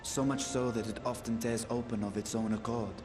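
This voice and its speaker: An actor playing a grave, cautious sage in a video game, with solemn, formal and archaic diction. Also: male voice, medium pitch, fairly even intonation, a little fast, music in the background, close to an everyday voice. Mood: grave, questioning. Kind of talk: scripted video game dialogue.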